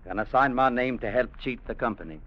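An actor playing a steady, defiant company clerk, measured and matter-of-fact, narrating and acting in a 1950s radio drama. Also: male voice, mid-range voice, natural voice. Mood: firm. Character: steady, defiant young company clerk telling his adventure. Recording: dull vintage radio broadcast, music behind.

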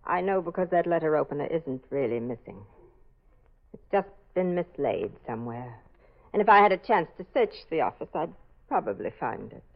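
A woman's voice: low voice, big pitch swings, normal speed, full character voice. A woman acting a determined, suspicious amateur sleuth in a 1940s radio mystery, dramatic and insistent. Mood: pointed, suspicious. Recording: dull old radio broadcast recording.

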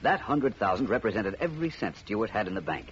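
Smooth sure-of-himself radio detective, swingy delivery, old muffled noisy recording. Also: male voice, lowish voice, very animated, fast. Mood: assured, explaining. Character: confident, shrewd gentleman private detective. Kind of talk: 1950s radio drama acting. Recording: muffled vintage radio recording, faint noise.

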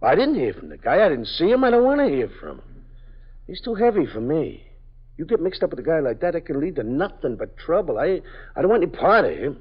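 An actor playing a nervous, evasive small-time parolee who stammers and pleads, in a 1950s radio drama. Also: male voice, fairly high pitch, big pitch swings, brisk, breathy edge. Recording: dull lo-fi old radio broadcast.

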